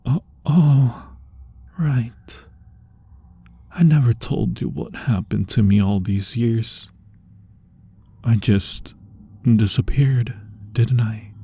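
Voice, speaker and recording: A man playing a lovesick, unhinged yet genteel captive vampire in an ASMR roleplay, theatrical and formal, breathy and murmuring into whispers. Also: fairly low pitch, mellow, full character voice. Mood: wistful, confiding.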